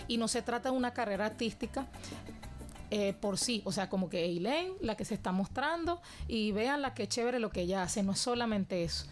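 A Venezuelan woman with a mid-range voice speaks in an earnest, reflective way, weighing her words. She has the ease of a guest on an interview program. Soft music plays faintly behind her. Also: medium pitch. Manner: earnest and reflective, with measured phrasing. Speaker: guest, cultural educator. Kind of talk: interview answers.

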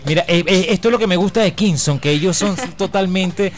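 A man with a Venezuelan accent hosting casual live radio, talking informally and haltingly with repeated words. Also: high voice, soft background music. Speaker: radio host.

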